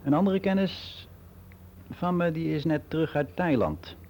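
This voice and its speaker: A man's voice: on the high side, unhurried, darkish tone, slight background noise. An actor playing a world-weary middle-aged man who rambles in a frank, confiding way in a radio play monologue. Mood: gossipy. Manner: rambling, confiding, matter-of-fact.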